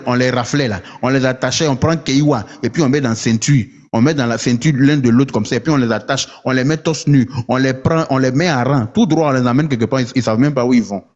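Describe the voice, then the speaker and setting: A man's voice: medium pitch, darkish tone, lo-fi sound. A man from Côte d'Ivoire, stammering and repeating words while ranting at his viewers with rhetorical questions. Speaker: online commentator. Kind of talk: heated political rant to viewers.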